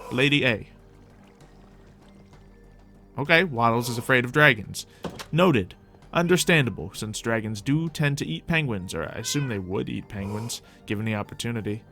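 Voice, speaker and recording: A man with a middle-pitched voice hosts a gaming video over background music. He talks casually and off the cuff, thinking aloud and chatting to characters in the game as he roleplays. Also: bright, forceful tone. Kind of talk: casual improvised Minecraft roleplay commentary.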